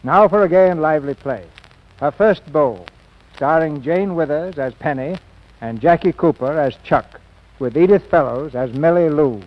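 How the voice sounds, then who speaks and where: A man's voice: fairly high pitch, moderate pace. A man presenting and narrating an old radio play in a polished, theatrical scripted style. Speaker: radio show host and narrator. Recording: dull old radio broadcast recording.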